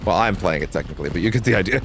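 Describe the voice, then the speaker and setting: A man's voice: low voice, rapid-fire. A man casually commenting on a game he is playing, rambling with many restarts and swearing freely. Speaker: let's play host. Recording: steady background noise.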